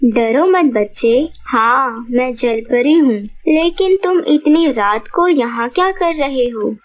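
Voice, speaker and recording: A woman voicing a caring, protective mother or mermaid in a cartoon story, with a gentle, concerned manner. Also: high voice, animated, slow. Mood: gentle, reassuring. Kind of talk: cartoon moral-story voice acting.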